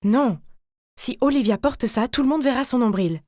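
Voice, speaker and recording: A woman's voice: fairly high pitch, normal speed, a little mellow, no room sound, studio quality, natural voice. A woman voicing a fussy, bossy female family member in a cartoon story, with emphatic delivery. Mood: disapproving. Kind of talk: acted story dialogue.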